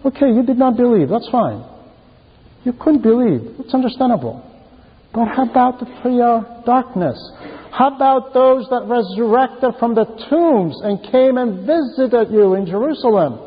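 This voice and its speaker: A man preaching a sermon in an emphatic, deliberate manner, repeating key phrases for emphasis. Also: very high voice, lively intonation, breathy edge. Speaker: preacher reading a translated homily. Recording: muffled, very reverberant hall sound.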